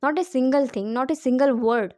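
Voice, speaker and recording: Female English tutor, non-native speaker, high soft-toned voice, explanatory and repetitive for emphasis. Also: a little fast, no room sound, studio quality. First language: not English. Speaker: English teacher giving advice. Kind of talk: informal spoken lesson drawing on personal experience.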